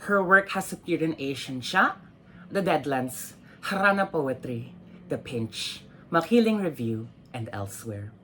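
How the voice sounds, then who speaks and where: A woman host delivers a formal introduction in a deep voice with animated, swinging intonation. There is faint background noise. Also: a little slow.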